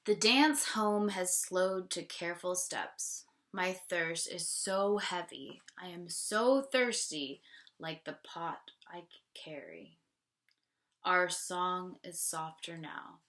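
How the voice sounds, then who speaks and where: Woman reading as a wistful, slow-spoken little girl. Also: fairly low pitch, a bit bright. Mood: weary. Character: thirsty, weary little village girl, dreamy young princess. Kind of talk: picture-book read-aloud.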